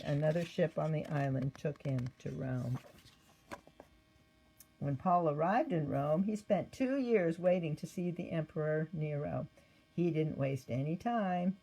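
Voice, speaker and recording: Woman, storybook narrator, lowish pitch, husky, expressive read-aloud. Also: low-quality recording. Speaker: storybook reader. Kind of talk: scripted narration of a children's Bible story.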